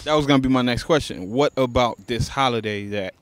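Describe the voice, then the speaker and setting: A man's voice: mid-range voice, brisk. A man runs a loose street interview in an everyday middle voice. He keeps saying "okay" and starts his questions over before getting them out. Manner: repeats "okay" and restarts questions.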